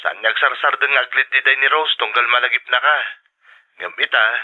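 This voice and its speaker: A man's voice: mid-range voice, steady tone, fairly quick. A man acting in a drama as a husband calling from home, with a Filipino accent, heard through a phone filter. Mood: caring, plaintive. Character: husband back home caring for the children. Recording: thin, band-limited phone call.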